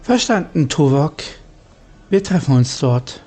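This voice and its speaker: A player speaking role-play dialogue over a game in a deliberate way. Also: medium pitch, big pitch swings, dark tone.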